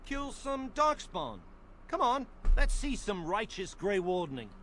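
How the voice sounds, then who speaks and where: A man's voice: high-pitched, very animated, measured pace, fairly bright tone, a little hiss or hum, full character voice. An actor playing a snide young male guard in a video game, sarcastic and dismissive. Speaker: game character.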